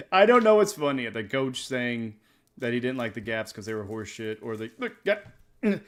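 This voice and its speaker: A man hosts a casual sports podcast in an easygoing, laid-back way. His voice sits at a middle pitch and he laughs easily between loose, rambling remarks. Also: very animated, measured pace. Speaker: podcast host.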